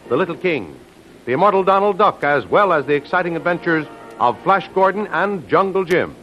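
A man announcing in a 1930s radio style, reading scripted narration with a dramatic promotional delivery. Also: on the high side. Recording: muffled old radio broadcast. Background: faint music.

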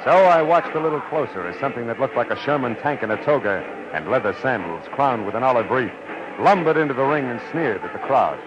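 An actor playing a hard-boiled private detective in 1940s radio style, with an American accent and a grave, sardonic, clipped delivery. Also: male voice, mid-range voice, expressive, fairly quick, lightly acted. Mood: wry, world-weary. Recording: noisy, muffled 1940s radio recording.

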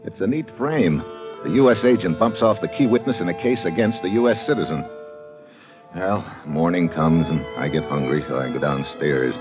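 A man's voice: sits low, moderate pace, lo-fi sound, close to an everyday voice. A man with an American accent playing a tough, wry agent, terse and slangy, narrating an old radio crime drama. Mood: wry, tense narration. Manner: hard-boiled, terse, slangy. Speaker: lead actor and narrator. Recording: band-limited old radio recording, dramatic music.

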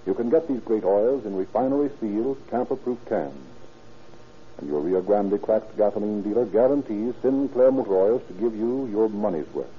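A man's voice: on the low side, moderate pace, a little hiss or hum. A man working as a radio announcer, reading scripted sponsor copy in a formal, salesmanlike 1930s style. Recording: tinny, muffled 1930s radio recording.

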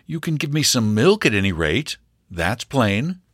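A man's voice: medium pitch, sing-song, measured pace, slightly rough, no echo, clean studio sound, voice pushed up. A man voicing a polite, friendly young male hunter who coaxes and persuades cheerfully. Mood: coaxing. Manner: cheerful, coaxing.

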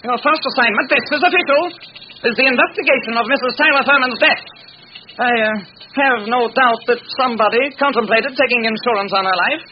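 A man's voice: very high-pitched, brisk. An actor playing a brusque, imperious male insurance company boss who barks his lines in a vintage radio drama. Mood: curt, official. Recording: noisy muffled vintage radio recording.

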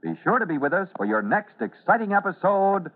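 Animated male radio serial announcer, tinny. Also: high voice, brisk. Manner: dramatic, addresses listeners directly. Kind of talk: 1940s-50s radio serial narration. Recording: tinny old radio recording.

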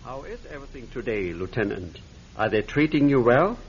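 An actor playing a cunning, friendly-seeming officer who speaks English in a vintage radio drama. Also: male voice, medium pitch, sing-song, fairly quick, slightly rough, dull audio. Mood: coaxing. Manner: friendly, coaxing, quietly manipulative. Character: smooth, cunning German officer interrogator. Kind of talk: 1940s radio acting.

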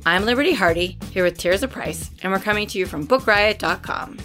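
Hoarse lady host chatting about books, super talky and excited, laughs lots. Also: medium pitch, fairly quick, faint music behind. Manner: chatty, enthusiastic, laughs often. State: hoarse, recovering from lost voice. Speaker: podcast host. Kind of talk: casual chat about new books.

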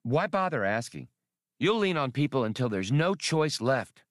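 A man voicing a sharp-tongued, sceptical young woman in an audiobook, with a scornful, challenging manner. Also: mid-range voice, sing-song, moderate pace, dry recording, studio quality, voice pushed up, close to an everyday voice. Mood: bitter.

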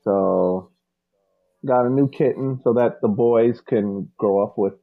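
A man with a low voice, speaking very slowly. His audio is thin and phone-like, as if he is calling in, and he tells his story in an easy, relaxed manner. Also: creaky. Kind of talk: casual podcast chat.

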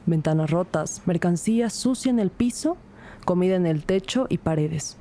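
A woman's voice: lowish voice, animated, normal speed, warm tone, slight background noise, lightly acted. An actress playing an earnest, composed police detective who narrates her case in a scripted audio drama. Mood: composed, serious.

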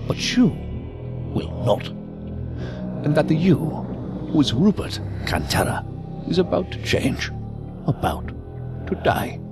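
A man's voice: on the high side, huge pitch swings, heavily acted. An inhuman calculating intelligence with a lightish voice that swings dramatically up and down. He muses in lofty, formal phrases, ominous and curious, over prominent music in a sci-fi audio drama. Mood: ominous musing. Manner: formal, grandiose, drawn-out musing. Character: theatrical non-human calculating entity, coolly curious and ominous. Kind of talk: science fiction audio drama monologue.

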